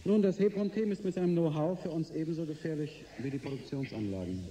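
An actor as a mellow, smug corporate boss. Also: male voice, a little high, lightly acted. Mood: smugly blunt. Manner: suave, condescending, deliberate. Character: shrewd, smug male energy corporation boss negotiating hard. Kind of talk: German radio drama acting. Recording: roomy sound with faint noise.

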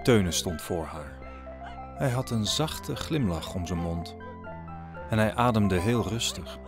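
A man's voice: low voice, lively intonation, slow pace. A man narrating a story in a scripted audio drama style. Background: music.

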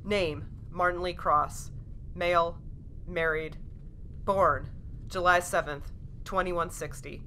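A low-pitched female space pilot acted by a woman from a sci-fi audio drama. She is terse and burdened, with a firm, curt delivery. Noisy ambient rumble sits behind her. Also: fairly even intonation, slow, long pauses, bright, forceful tone. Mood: grave, businesslike.

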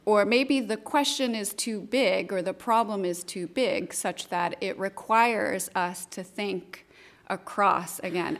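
A woman speaking as a panelist in an academic discussion, thoughtful and measured, from a lecture room. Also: medium pitch.

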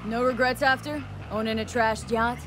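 A woman's voice: fairly high pitch, fairly even intonation, normal speed, music in the background, natural voice. An actress playing a wry, tough young female mercenary in a video game, with a dry, blunt, skeptical manner. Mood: incredulous, game.

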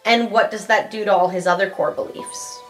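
A woman's voice: mid-range voice, steady tone, brisk, soft background music. A woman playing a tabletop roleplay game, asking questions casually in character.

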